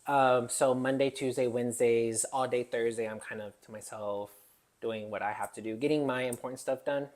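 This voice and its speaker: A young woman in her twenties with a Texas accent talks about her nightlife job in a loose podcast chat. Her delivery is animated and chatty, and she laughs easily. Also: rumbling low, moderate pace. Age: 20s. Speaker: podcast guest, bartender. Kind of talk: casual chat.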